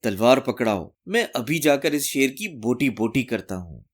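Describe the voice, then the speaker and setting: Male storyteller as boastful fake warrior, swaggering, declamatory, lively swooping pitch, storybook bravado. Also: unhurried. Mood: boastful bragging. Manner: bragging, declamatory. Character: boastful swaggering cowardly man posing as mighty warrior.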